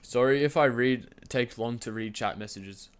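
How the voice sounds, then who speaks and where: A man's voice: mid-range voice, normal speed, clean tone. A young man with a cold and a stuffy, nasal voice, casually rambling through a story to his live stream chat, full of fillers and restarts, standing and moving around as he talks. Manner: loose and chatty, lots of fillers and restarts, laughs mid-sentence. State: sick with a cold, congested and nasal. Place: home streaming setup.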